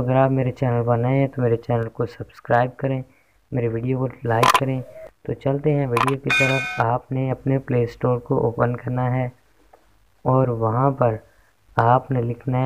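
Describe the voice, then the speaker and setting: Flat-toned man presenting a tech tutorial. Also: mid-range voice, normal speed. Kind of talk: casual how-to tutorial narration. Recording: dull lo-fi microphone sound.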